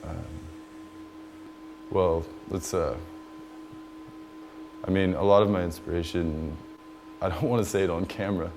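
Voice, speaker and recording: A man who is an artist and musician talking casually about his own work in a halting, loose, informal way. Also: sits low, fairly even intonation, slight background noise.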